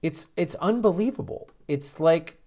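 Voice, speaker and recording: Exasperated American man, halting political rant. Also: a little high, expressive, warm tone. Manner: exasperated, incredulous, mocking; halting, with repeated false starts. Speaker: host of a political commentary show. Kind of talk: casual political commentary with read-out quotes.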